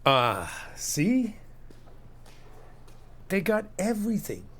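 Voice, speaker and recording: A middle-aged man in an ASMR friend roleplay, talking in an easygoing, reassuring, chatty way with soft laughs. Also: sits high, huge pitch swings, drawn-out words. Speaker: ASMR roleplay creator playing a best friend.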